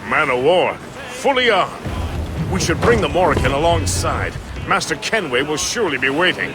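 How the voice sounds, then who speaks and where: A man playing a brisk, chatty, loyal ship's first mate in a historical game drama, with a salty, deferential manner. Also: on the high side, big pitch swings, over music. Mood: excited.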